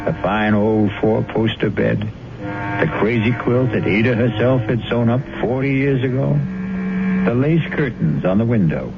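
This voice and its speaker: A man narrating a scripted radio mystery as its host, in a measured storytelling style. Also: fairly low pitch. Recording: very muffled old radio broadcast. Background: loud music.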